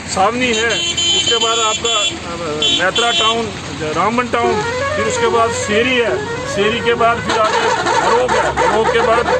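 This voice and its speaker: A man making an impromptu, insistent political complaint as a former legislator, repeating and listing his points. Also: sits high. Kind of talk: impromptu outdoor political statement to camera. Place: outdoors beside a road. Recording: clear outdoor noise with passing vehicles.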